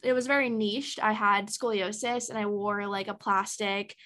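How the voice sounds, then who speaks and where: A woman's voice: medium pitch, fairly even intonation, normal speed, fairly bright tone. A woman in her early twenties, a podcast guest talking in a chatty, casual way with many ums and likes. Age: early 20s.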